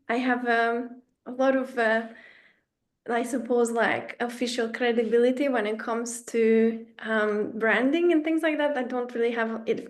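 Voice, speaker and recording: Chatty young woman from Romania. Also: on the high side. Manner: chatty, self-effacing, frequent fillers and restarts. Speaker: workshop facilitator. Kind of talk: online workshop talk.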